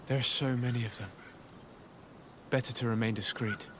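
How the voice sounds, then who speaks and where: An actor playing a courteous noble envoy in a fantasy game, speaking in a measured, formal way. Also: male voice, medium pitch, fairly even intonation, a bit bright, slight background noise, close to an everyday voice. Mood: calm, inquiring. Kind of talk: scripted game cutscene acting.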